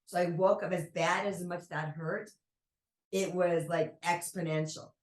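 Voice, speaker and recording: Woman, low voice, podcast guest, reflective storyteller, roomy echo. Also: steady tone, a little slow, a crowd in the background. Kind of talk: personal storytelling in an interview.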